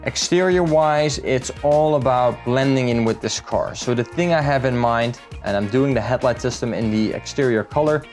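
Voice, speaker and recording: A man's voice: medium pitch, moderate pace, hardly any pauses, darkish tone, soft background music. A man from the Netherlands, talking through a car build in a casual, matter-of-fact vlog style as a salesman would.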